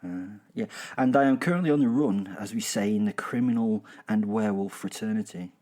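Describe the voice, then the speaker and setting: A gleeful werewolf killer on the run, played by a man, with a lowish voice and a British accent. He narrates like a chatty horror serial host, boastful and wry, wandering off into dark little asides. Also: brisk, natural voice. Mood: wry, smug. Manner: wry, rambling, darkly humorous asides. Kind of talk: chatty first-person dramatic storytelling.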